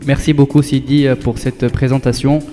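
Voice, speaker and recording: A man's voice: mid-range voice, level tone, normal speed, long reverb tail, over music. A man hosting a school web radio show, reading his links politely and thanking each guest.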